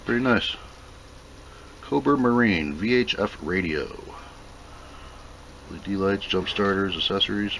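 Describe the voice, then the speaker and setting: A man's voice: sits low, unhurried, gravelly, pauses often, a bit bright, slight background noise, rough recording. A plainspoken man giving an off-the-cuff, casual commentary as he reviews and unboxes a product.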